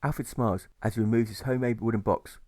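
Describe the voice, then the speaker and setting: A man's voice: on the low side, steady tone, fast talker, darkish tone, dry recording. Dry-sounding man narrating rhyming prose. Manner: rhythmic, rhyme-stressed delivery. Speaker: author reading his own novel. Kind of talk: rhyming scripted audiobook narration.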